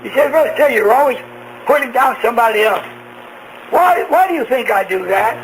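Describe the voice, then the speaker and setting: A man preaching a radio sermon in slow, halting phrases, posing rhetorical questions. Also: very high-pitched, lively intonation. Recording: noisy humming shortwave radio broadcast.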